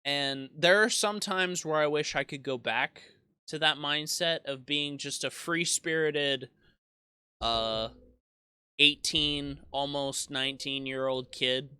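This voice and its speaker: A young man in his twenties talks through his memories in a loose, rambling way, often restarting his sentences and poking fun at himself. His voice is bright and forward, and the sound is crisp and smooth. The recording is dry and close. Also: on the high side, takes it slowly, dry recording. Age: 20s. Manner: self-deprecating. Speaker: YouTube gaming creator. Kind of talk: casual reflective monologue.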